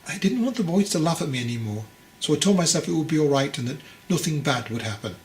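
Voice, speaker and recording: A man's voice: on the high side, expressive, quick pace, roomy sound, voice pushed up. A man voicing a fragile, anxious young would-be monk in a storybook, hesitant, earnest and deferential. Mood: guilty. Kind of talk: storybook character dialogue.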